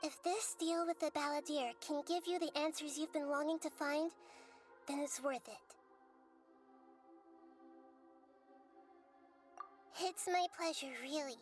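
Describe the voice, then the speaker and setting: A woman voicing a gentle, wise young girl deity in a video game, speaking in a measured, articulate, earnest way. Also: high voice, steady tone, soft background music, natural voice. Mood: earnest, tender. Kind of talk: scripted video game voice acting.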